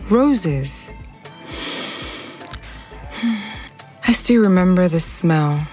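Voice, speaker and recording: A wistful, lovestruck young woman in an audio drama. She speaks slowly in a fairly low voice, sighing, with soft music underneath. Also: animated. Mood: wistful, forlorn. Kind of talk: naturalistic audio drama acting.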